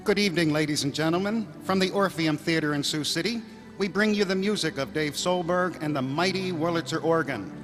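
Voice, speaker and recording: A man's voice: fairly high pitch, brisk, fairly bright tone, soft background music, close to an everyday voice. A man playing a courteous, formal program announcer in a 1930s-style radio drama. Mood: formal, showy. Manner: formal, presentational. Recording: reverberant theater hall.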